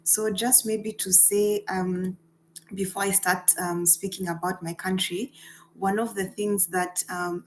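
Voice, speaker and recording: A woman's voice: on the low side, a little hiss or hum. A woman from Rwanda and Kenya giving an earnest presentation as a peace fellow over a video call, with frequent fillers and restarts. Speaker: guest speaker, peace fellow.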